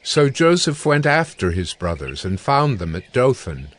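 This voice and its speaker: A man narrating scripture in a measured, solemn storytelling style. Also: mid-range voice, sing-song. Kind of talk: scripted dramatic Bible narration.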